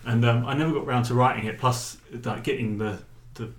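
English spoken word performer, rambling and self-interrupting, mid-pitched, roomy echo with faint hiss. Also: male voice, brisk. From England. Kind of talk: casual interview chat.

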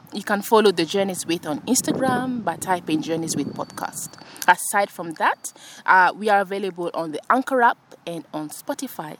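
A woman's voice: mid-range voice, slight background noise. Chatty woman hosting a podcast outdoors. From France. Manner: chatty, laughs often, many fillers. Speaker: podcast host. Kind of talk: casual podcast chat. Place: outdoors, sitting on grass.

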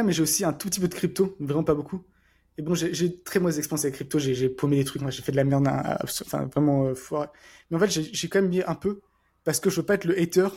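Fast-talking husky man. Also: a little high, a little mellow. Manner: rapid, rambling, many fillers and restarts. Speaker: podcast co-host. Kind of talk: casual chat.

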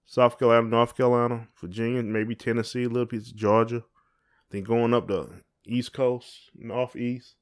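A man's voice: mid-range voice, level tone, normal speed. Southern guy doing casual podcast chat, folksy, playful, kinda rambles. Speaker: podcast co-host.

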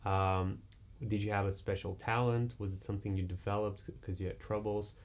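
Low-pitched male host, relaxed, loose rambling questions. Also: normal speed. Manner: relaxed, filler-heavy questioning. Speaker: podcast host. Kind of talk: interview questions.

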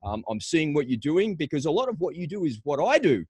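A man's voice: fairly high pitch, very animated, brisk, darkish tone, breathy edge, dry recording. A man hosting a podcast, giving an enthusiastic, promotional introduction and interviewing a guest. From Australia. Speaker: podcast host.

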